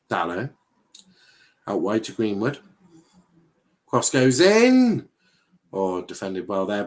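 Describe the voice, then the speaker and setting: A young man from England with a fairly low voice, talking loosely through a live football watchalong. He rambles, restarts his sentences and swears casually as the play unfolds. His delivery is relaxed and offhand. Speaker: watchalong streamer. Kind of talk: casual live match commentary.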